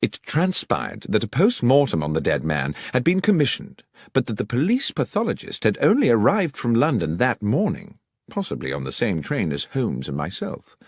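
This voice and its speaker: A man's voice: mid-range voice, huge pitch swings, moderate pace, slightly rough, no room sound, clean studio sound. A man reading a scripted detective story as an audiobook narrator.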